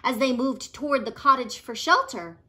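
A woman in her forties reading a children's story aloud as a teacher would, with expressive, deliberate phrasing. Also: high voice. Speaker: teacher reading stories to pupils. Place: room at home, indoors.

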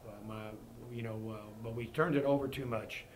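A man's voice: medium pitch, steady tone, moderate pace, a little mellow, slight background noise. A middle-aged man, a head basketball coach, speaking bluntly at a postgame press conference, disappointed and often restarting and repeating himself. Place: press conference room before a sponsor backdrop.

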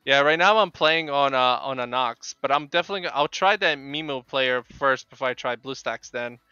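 A middle-aged man with a Polish accent chats casually with viewers while gaming, in a halting way that often repeats words. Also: medium pitch, sharp tone, clean tone, no echo, soft background music. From Poland. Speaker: game streamer. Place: small home room with bookshelves. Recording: dull, lo-fi microphone sound.

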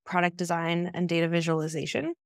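Female event host, thirties, lower register, semi-scripted introduction, very dry recording. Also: level tone, fairly quick, no echo. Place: indoors, video call.

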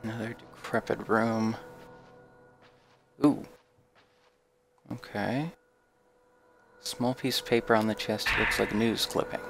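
Low gravelly man commenting on a game. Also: little pitch movement, slow pace, long pauses, over music. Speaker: let's play gamer. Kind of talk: casual horror game commentary, some reading aloud.

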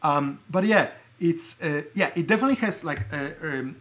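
A middle-aged man with a slightly high voice talks informally as he hosts a livestream from his desk. He is originally from Poland. His delivery is conversational and halting, with thoughts that often break off and restart. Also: lively intonation, a bit bright. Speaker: livestream host showing collected keyboards. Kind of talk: casual livestream show-and-tell. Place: indoor room at a desk.

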